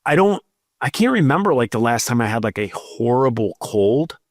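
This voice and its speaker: A man's voice: medium pitch, very animated, moderate pace, dry recording, studio quality. A man in his thirties, a podcast co-host, telling a rambling story in casual chat with lots of fillers.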